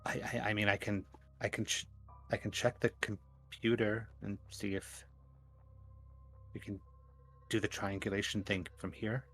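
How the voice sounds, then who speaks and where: Hesitant low-voiced man at a game table. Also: flat delivery, a little slow, long pauses, a bit bright, soft background music. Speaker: player in a tabletop roleplaying game. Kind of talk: casual improvised roleplay.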